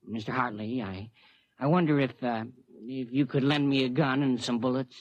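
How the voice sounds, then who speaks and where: An actor playing a pious, hesitant and apologetic neighbor, a religious fanatic, in a 1950s radio drama. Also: male voice, mid-range voice, steady tone, normal speed, no room sound, close to an everyday voice. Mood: meek, pleading. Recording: dull, dry vintage radio recording.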